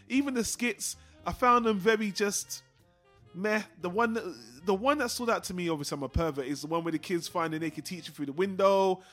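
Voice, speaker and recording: A man's voice: high-pitched, a bit bright. A man co-hosting a casual film discussion, rambling and repeating himself for emphasis. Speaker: podcast co-host.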